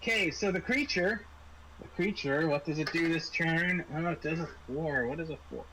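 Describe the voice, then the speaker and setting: Man, high-pitched, game master, casual halting explanations, clear background noise. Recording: clearly noisy room recording.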